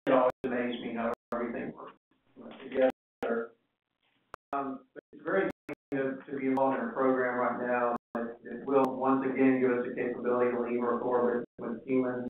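Conference speaker, American man, halting and flat in pitch, heard through a thin phone-like band in a very echoey room. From East Coast of the United States. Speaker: keynote speaker, aerospace engineer. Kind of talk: conference presentation with slides. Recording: distant, echoey, narrow phone-like sound, faint noise.

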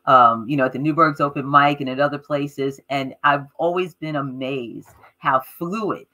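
A woman's voice: extremely low pitch, moderate pace, bright, forceful tone. Enthusiastic woman hosting an interview. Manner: enthusiastic, emphatic. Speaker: host. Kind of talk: live interview. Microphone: webcam on a video call.

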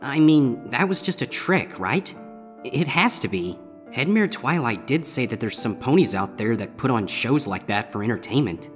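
A man's voice: mid-range voice, lively intonation, normal speed, faint music behind, voice pushed up, natural voice. A man voicing a young male griffin student in a fan fiction reading, uneasy, hesitant and grumbling. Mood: anxious, puzzled. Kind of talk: fan fiction audiobook character voice.

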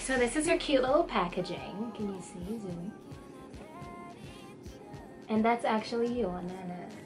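A woman's voice: mid-range voice, measured pace. A young woman hosting a casual vlog, chatting to camera with playful, slangy banter. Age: young adult. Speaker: vlog host. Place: small indoor room, sequin backdrop. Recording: slightly distant mic, music behind.

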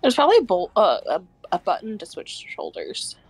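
A woman's voice: medium pitch, huge pitch swings, a little fast, slightly rough, no echo. A woman watching a friend play, teasing her, laughing and swearing. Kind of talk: casual teasing commentary.

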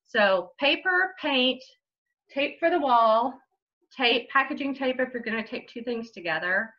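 A woman's voice: high voice, lively intonation, unhurried, dull audio. A middle-aged woman hosting a children's story time, giving craft instructions to camera at home. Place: small bright room at home, indoors.

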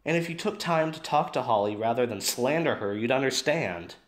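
A man's voice: mid-range voice, lively intonation, a little fast, close to an everyday voice. A man playing a blunt but caring teenage boy in an audio drama, direct and conversational. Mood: challenging.

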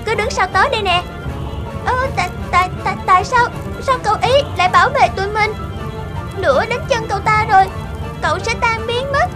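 A woman voicing fretful little cartoon teardrop creatures in dubbing, exclamatory and quick to judge. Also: very high-pitched, music in the background. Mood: worried, amazed. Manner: exclamatory, dramatic.

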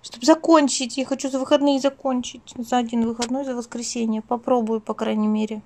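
A woman's voice: on the high side, steady tone, fairly quick, a little mellow. A woman talking casually as a craft vlogger at home, rambling and interrupting herself in an affectionate way. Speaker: craft vlogger, channel host. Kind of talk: casual chatty craft vlog. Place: at home, indoors.

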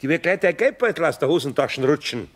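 An actor playing a good-natured older craftsman in a radio play, a homely man with a husky voice and Bavarian accent. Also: fairly high pitch, animated, fast talker. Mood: calm, flustered. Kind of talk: radio play dialogue acting.

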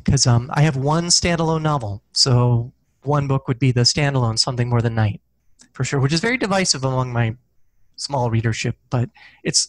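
A man's voice: mid-range voice, no room sound, poor audio. A man in his forties speaks through a headset microphone from a small office, a guest author on a video call. He thinks aloud, hesitating and restarting often. His voice sits mid-range and sounds close and dry. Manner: hesitant, many ums and restarts, gestures with hands. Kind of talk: casual video call interview. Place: small office with corkboard, indoors. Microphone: headset mic on video call.

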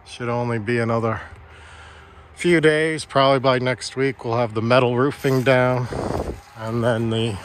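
A man with a middle-pitched voice talks slowly and plainly as he tours a building site outdoors in the woods. He films on a handheld phone, with a faint outdoor ambience behind him. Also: fairly even intonation, background noise. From Vermont. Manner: plain, matter-of-fact, unhurried. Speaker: builder giving a site tour. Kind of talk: casual walkthrough narration. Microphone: handheld phone camera.

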